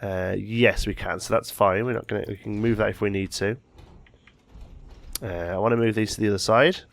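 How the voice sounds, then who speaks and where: A man's voice: fairly low pitch, steady tone, moderate pace, gravelly, dry recording. A man with a slight head cold, hosting a let's play and thinking aloud as he builds, often correcting himself mid-sentence. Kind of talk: casual gameplay commentary.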